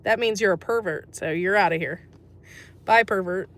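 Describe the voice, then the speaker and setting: A woman's voice: mid-range voice, moderate pace, a bit bright. A woman from America hosting a casual livestream, chatting about herbal remedies and answering live chat messages with frequent laughs. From USA. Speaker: livestream host.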